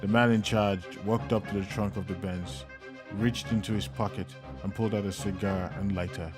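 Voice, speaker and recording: A man narrating an audiobook in an even, steady storytelling manner. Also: fairly low pitch, moderate pace, over music.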